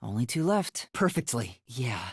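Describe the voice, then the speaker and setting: Timid, breathy young detective boy. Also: medium pitch, very animated, normal speed, no room sound, studio quality. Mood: thoughtful, unsure. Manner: hesitant, polite, earnest, stammering. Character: timid, earnest, anxious young male amnesiac trainee detective. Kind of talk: English video game voice acting.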